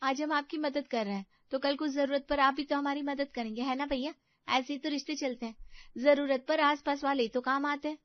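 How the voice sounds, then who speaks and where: A woman's voice: high-pitched, fairly even intonation, a little fast, dry recording, highs cut off, lightly acted. A woman voicing a cheeky, scheming younger sister-in-law who talks in a bossy, persuasive, exasperated way. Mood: sweetly persuasive.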